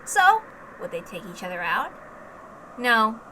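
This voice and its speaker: A woman reading dramatic scripted narration in a measured, deliberate manner. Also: on the high side, sing-song, bright, forceful tone, slight background noise, rough recording. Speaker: narrator, reader.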